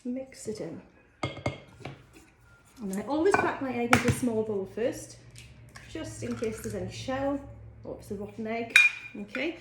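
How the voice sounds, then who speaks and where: A woman's voice: on the high side, animated, moderate pace, pauses often, very noisy. A woman with a Welsh accent giving a homely, chatty cooking demonstration, explaining each step as she works in her kitchen. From Wales. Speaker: cookery teacher. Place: kitchen, indoors. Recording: echoey kitchen with faint clatter.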